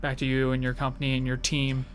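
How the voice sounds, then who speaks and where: A man's voice: mid-range voice, completely flat delivery, fast, a little hiss or hum. A man co-hosting a podcast, talking in an easygoing, casual way with plenty of "you know". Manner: easygoing, laughs often, fillers like "you know". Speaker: podcast co-host. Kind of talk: casual interview chat.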